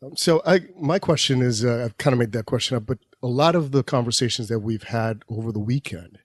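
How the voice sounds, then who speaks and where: Hesitant husky-voiced man asking a question. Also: mid-range voice, no echo. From Dominican Republic. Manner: hesitant, halting, careful phrasing. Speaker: audience member asking a question. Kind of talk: live podcast question.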